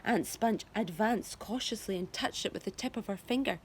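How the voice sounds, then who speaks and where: A woman teacher reading a children's novel aloud to her class, expressive storytelling. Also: medium pitch, moderate pace, slight background noise. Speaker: primary school teacher.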